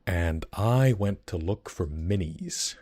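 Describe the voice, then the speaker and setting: Low-voiced Icelandic man chatting casually. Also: expressive, slow pace, a little mellow, breathy edge. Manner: rambling, enthusiastic, many fillers and restarts. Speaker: streamer. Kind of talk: casual livestream chat.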